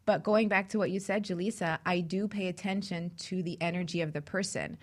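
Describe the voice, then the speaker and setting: A woman co-hosting a casual radio talk show, expressive and animated as she talks. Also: lowish voice, brisk.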